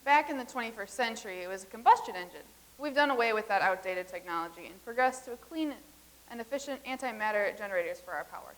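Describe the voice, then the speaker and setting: A woman acting in a staged comedy reading as a calm, formal, peace-keeping traveler from the far future. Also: mid-range voice, fast, natural voice. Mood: matter-of-fact.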